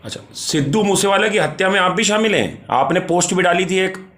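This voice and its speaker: Bright-voiced male interviewer, slightly high. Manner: halting, repeats questions insistently. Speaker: news interviewer. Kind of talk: phone interview questioning.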